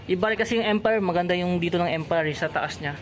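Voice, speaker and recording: A man's voice: high voice, fast talker. A man with a Filipino accent gives a casual shop tour as a vlogger, often echoing what he is told. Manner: repeats and echoes what he is told. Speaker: motorcycle vlogger and host. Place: motorcycle accessory shop. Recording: noisy location sound with traffic ambience.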